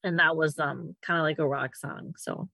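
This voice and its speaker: A woman's voice: low-pitched, fairly even intonation, moderate pace, vocal fry, bright, forceful tone, no room sound. A woman co-hosting a podcast, chatting casually with frequent ums. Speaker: podcast co-host.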